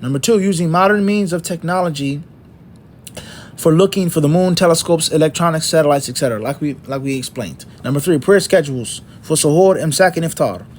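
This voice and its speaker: Man, middle-aged, lecturing, emphatic list-making delivery, slightly high voice, faint background rumble. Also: brisk, darkish tone. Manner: emphatic, lists points one by one with rhetorical questions. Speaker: Islamic teacher.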